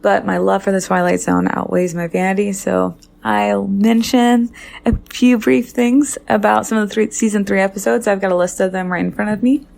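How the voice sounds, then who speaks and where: A woman's voice: mid-range voice, flat delivery, moderate pace, vocal fry, a little mellow. A woman giving chatty, articulate, self-deprecating personal feedback as a podcast listener.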